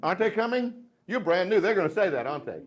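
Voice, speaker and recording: A man in his fifties with a Southern accent, giving a folksy, joking live speech to a room of salespeople, swinging between loud and hushed. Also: high voice, very animated, fast, dull audio. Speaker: motivational sales speaker. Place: dealership meeting room.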